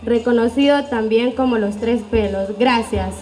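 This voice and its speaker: A woman's voice: on the high side, measured pace, warm tone. An eighteen-year-old woman introducing herself formally as a pageant contestant. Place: outdoor evening plaza before a crowd. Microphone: handheld microphone.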